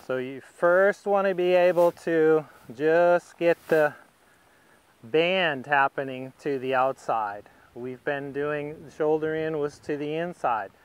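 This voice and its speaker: Clear-voiced man calmly teaching horse riding. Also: fairly high pitch. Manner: calm, patient, explaining step by step. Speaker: riding instructor. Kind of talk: instructional demonstration narrated while riding.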